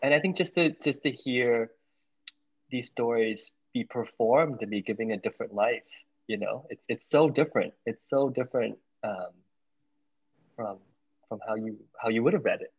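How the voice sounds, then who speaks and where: A man's voice: mid-range voice, normal speed, breathy edge. A man in his thirties hosting a literary talk on a video call, hesitant and restarting his phrases. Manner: hesitant, repeating words as he searches. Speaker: host. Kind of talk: casual interview questions. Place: home room. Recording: muffled video call audio.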